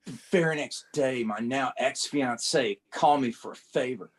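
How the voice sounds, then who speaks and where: A man's voice: mid-range voice, moderate pace, breathy edge, natural voice. A man reading a comic aloud as a young male rookie cop, narrating his troubles in a plain conversational first-person way. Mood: wry.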